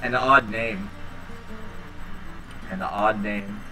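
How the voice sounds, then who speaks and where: A man in his thirties hosting a home livestream, chatting casually with viewers in a rambling, low-key, tired way. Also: steady tone, slow pace, recorded from a distance, over music, rough recording. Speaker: livestream host reading chat. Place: small home room indoors. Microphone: headset.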